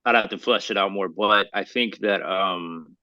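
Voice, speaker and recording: A man co-hosting a podcast in casual, slangy, opinionated chat, talking in a halting, rambling way and circling back to qualify his points. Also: low voice, pushed and bright, dry recording. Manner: halting, rambling, hedging delivery; slangy, addresses listener as bro. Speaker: podcast co-host.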